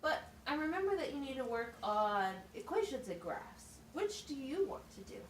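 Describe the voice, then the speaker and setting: A woman acts out a methodical, encouraging teacher in a puppet show. Her voice is fairly high with lively intonation. She guides with plain questions and explanations, heard in a roomy recording. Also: normal speed. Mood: patient, explanatory. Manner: instructive, question-led, plain wording. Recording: roomy, distant mic, faint noise.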